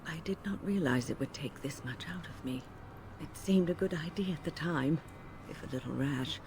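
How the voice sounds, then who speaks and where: An actress playing an earnest, gentle mage in a fantasy video game, speaking politely and formally with dry self-deprecation. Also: female voice, deep voice, moderate pace, noisy background. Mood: weary.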